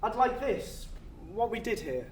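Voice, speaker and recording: Male actor as earnest pleading afterlife official, highish voice, stage play, roomy n far from the mic. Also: big pitch swings, a little slow. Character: earnest afterlife official pleading to choose his forever, tender and resolute. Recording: echoey stage, distant mic, faint noise.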